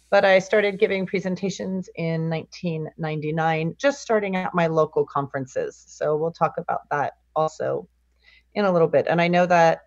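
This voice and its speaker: A middle-aged woman from California speaks in a lowish voice, calm and explanatory as a guest on a live online session. The sound is a bit dull and lo-fi, dry and close over a video call. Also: no room sound. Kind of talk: live online interview presentation.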